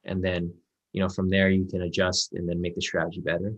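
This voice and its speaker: Deep-voiced man, rapid casual mentor on a video call, lots of fillers. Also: dull audio. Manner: relaxed, reassuring, filler-heavy. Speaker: trading mentor.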